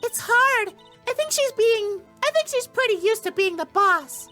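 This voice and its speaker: A man acts out a perky young girl sidekick in a video game, eager and supportive. The voice is an extremely high, squeaky falsetto, very bright, with music underneath. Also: lively intonation, measured pace, heavily acted. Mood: eager, encouraging.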